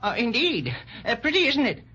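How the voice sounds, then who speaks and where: A man's voice: very high-pitched, huge pitch swings, moderate pace, pushed and bright, slightly rough, exaggerated voice. An actor playing a pompous, dithering, easily offended king in a 1930s children's radio serial. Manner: haughty, blustering, hesitant. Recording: dull, lo-fi 1930s radio recording.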